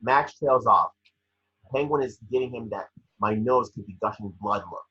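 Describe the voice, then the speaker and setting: A very husky man narrates screenplay action with a vivid storytelling delivery. His tone is sharp and forceful. The recording sounds a bit dull and lo-fi. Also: mid-range voice, moderate pace. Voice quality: husky, raspy. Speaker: scene description reader. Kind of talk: narrating a screenplay table read. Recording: dull lo-fi call audio.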